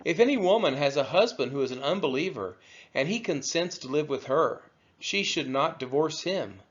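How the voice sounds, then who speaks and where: A man with a slightly high voice reads scripture aloud. His intonation swings widely, with measured, emphatic phrasing. The recording sounds somewhat dull and band-limited. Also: expressive. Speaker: scripture reader.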